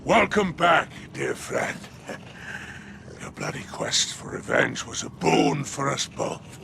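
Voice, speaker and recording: An actor playing a sly, gloating alien crime boss in a video game, gravelly, mocking and theatrical. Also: male voice, a little high, big pitch swings, slow, bright, forceful tone, a little hiss or hum, exaggerated voice. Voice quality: gravelly, creaky. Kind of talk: video game character acting.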